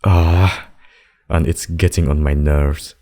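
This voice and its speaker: A man voicing a possessive demon king and lover in a scripted ASMR roleplay, speaking deliberately and intimately. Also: very deep voice, steady tone, mellow. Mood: menacing, possessive. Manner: slow, deliberate, intimate addresses with pet names. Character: possessive demon king, menacing abductor turned tender secret lover.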